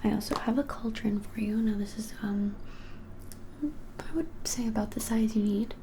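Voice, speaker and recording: A woman in her twenties whispering softly and gently in an unhurried ASMR shop roleplay. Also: fairly high pitch, background noise. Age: 20s. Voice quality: soft, breathy whisper. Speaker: ASMR creator playing a witch shop keeper. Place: small indoor room, plain wall.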